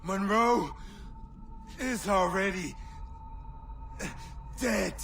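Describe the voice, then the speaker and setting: Bitter menacing game fighter, high raspy creak, drags words out, far off w noisy background. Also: male voice, a bit bright, soft background music, full character voice. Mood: bitter, defiant. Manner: accusing, menacing, slow drawn-out delivery.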